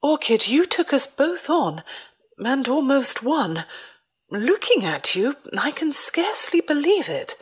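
A woman playing a caring, level-headed young female fighter in an audio drama, earnest and gently chiding. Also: high voice, big pitch swings, slow pace, heavily acted. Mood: relieved, thoughtful.

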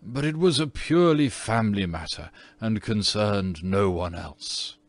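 A man's voice: on the low side, animated, slow. A man voicing a petulant, haughty old aristocratic English ghost in a storybook, speaking in a pompous, theatrical way. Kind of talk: storybook character reading.

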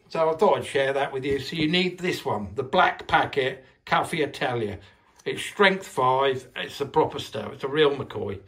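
A man's voice: mid-range voice, a little hiss or hum. An older man in a home kitchen, chatting casually through a how-to with lots of fillers and restarts, filmed on a handheld phone. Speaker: home video maker.

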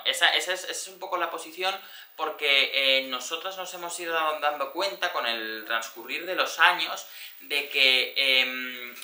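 Forceful, bright young Spanish man explaining. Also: on the high side. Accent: Spanish from Spain. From Alicante, Spain. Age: young adult. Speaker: tenants' union activist, speaker. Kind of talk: informal political presentation. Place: indoor social-centre room before an audience. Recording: echoey room sound.

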